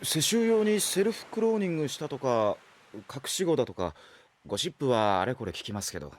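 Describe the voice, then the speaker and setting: A man's voice: medium pitch, big pitch swings, fairly quick, natural voice. An actor playing a mild, chatty, easily flustered young man in a Japanese audio drama. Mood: gossipy. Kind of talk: Japanese anime-style audio drama acting.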